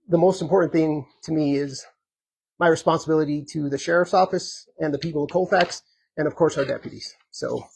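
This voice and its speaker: A man running for sheriff, answering audience questions at a community meet and greet in an earnest, conversational way, hoarse and full of filler ums. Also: on the high side, darkish tone, slight background noise, low-quality recording. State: hoarse, losing his voice. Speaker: sheriff candidate.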